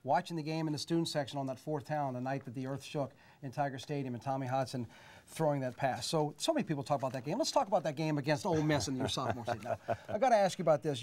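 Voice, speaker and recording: Fast-talking enthusiastic sports interviewer. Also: male voice, mid-range voice, expressive, a little mellow. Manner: brisk, enthusiastic storytelling questions. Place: TV studio set. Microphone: lapel.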